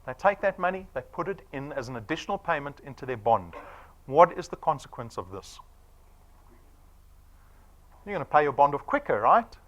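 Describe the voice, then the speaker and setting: A man's voice: a little high, big pitch swings, normal speed, pauses often, fairly bright tone, highs cut off. A man of forty with a South African accent, giving a punchy sales presentation to an audience and firing off rhetorical questions. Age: 40s.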